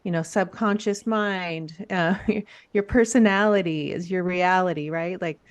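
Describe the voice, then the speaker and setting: A woman's voice: lowish voice, measured pace, clean tone. A woman hosting an interview podcast, talking in an effusive, rambling way and often restarting her sentences. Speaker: podcast host. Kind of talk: casual interview.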